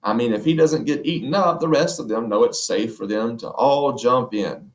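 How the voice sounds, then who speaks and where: A man's voice: mid-range voice, normal speed, natural voice. A man reading a children's book aloud, voicing a dreamy, enthusiastic husband who talks with eager wonder. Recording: echoey room.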